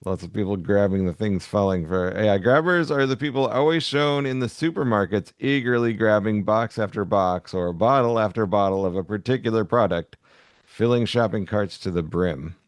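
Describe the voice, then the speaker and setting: A man's voice: fairly low pitch, moderate pace, clean tone, no room sound, clean studio sound. A man co-hosting a casual talk show, agreeable and given to chuckling as he chats and reads aloud. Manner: agreeable, chuckles often while talking. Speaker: podcast co-host. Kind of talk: casual chat and reading aloud from a humor magazine.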